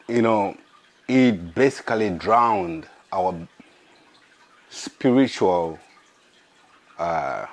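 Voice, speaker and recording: Podcast host, hoarse, very low voice, slow and searching. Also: male voice, expressive. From Africa. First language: an African language. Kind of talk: casual monologue.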